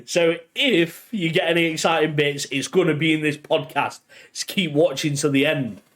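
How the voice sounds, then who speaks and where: A man's voice: fairly high pitch, moderate pace, fairly bright tone. A young man co-hosting a podcast in casual chat, teasing his co-host and laughing often.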